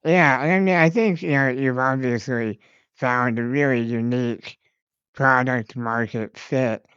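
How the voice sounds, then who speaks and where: A man's voice: medium pitch, a little slow, fairly bright tone, clear, resonant tone, dry recording, lo-fi sound. A man hosting a podcast, talking in a friendly, casual way with plenty of "you know" fillers. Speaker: podcast host.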